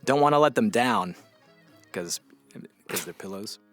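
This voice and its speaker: A man's voice: fairly low pitch, lively intonation, unhurried, no room sound, soft background music. A man playing a tired, sardonic radio newsman in a comedy audio drama, grouchy but supportive, with a wry, rambling way of talking. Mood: sarcastic. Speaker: radio journalist.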